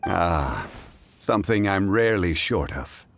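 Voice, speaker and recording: An actor playing a composed, scholarly gentleman consultant in a video game, speaking in a measured, formal, eloquent way. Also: low-pitched, expressive, creaky, background noise, full character voice. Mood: calm amusement. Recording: music behind the voice.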